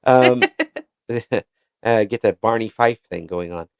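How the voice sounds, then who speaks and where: A man hosting an interview, asking questions in a halting way with many restarts and repeated words. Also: on the low side, gravelly, smooth, no echo. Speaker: interview show host. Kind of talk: casual interview chat. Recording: dull lo-fi recording.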